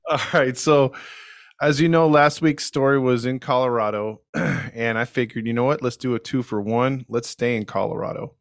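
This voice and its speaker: A man's voice: mid-range voice, steady tone, moderate pace, dry recording, clean studio sound. A man narrating a true crime story on a podcast in a casual, joking, conversational way.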